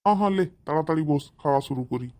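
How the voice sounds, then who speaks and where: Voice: mid-range voice, lively intonation, very fast, heavy background noise, close to an everyday voice. A performer voicing a fond, hard-working village farmer father in a comedy cartoon. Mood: hungry, comic.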